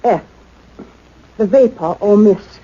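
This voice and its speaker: A woman's voice: medium pitch, slow pace, warm tone, breathy edge. A woman playing a composed, dutiful scientific colleague in a radio play, attentive and formal. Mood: attentive, curious. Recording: dull, noisy lo-fi radio recording.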